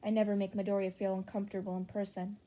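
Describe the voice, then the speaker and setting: A woman's voice: mid-range voice, little pitch movement, quick pace, mellow, lightly acted. A woman voicing a frank, infatuated teenage student in an audiobook, understated and matter-of-fact. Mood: deadpan longing.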